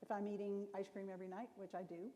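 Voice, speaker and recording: A woman on a panel, speaking in a relaxed way with dry humor. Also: mid-range voice, fast talker, slight background noise. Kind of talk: panel discussion. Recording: reverberant hall sound.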